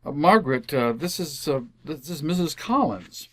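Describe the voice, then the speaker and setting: A man's voice: a little high, lively intonation, normal speed. A man playing a placating, easily exasperated suburban husband in an old-time radio comedy, stammering and hedging. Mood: flustered, apologetic.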